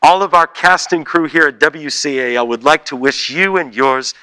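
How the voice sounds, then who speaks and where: A man narrating a radio play as a scripted story, theatrical and measured. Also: fairly high pitch, sharp tone, smooth.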